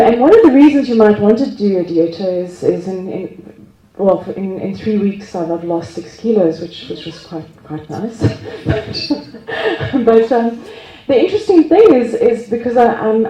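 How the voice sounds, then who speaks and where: A woman telling a gathering about her experience in a relaxed, self-mocking way. She laughs easily and often restarts her sentences. Her mellow voice carries through an echoey room over a microphone. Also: mid-range voice, highs cut off. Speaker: group speaker sharing experience. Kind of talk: casual personal sharing at a gathering. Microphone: handheld microphone.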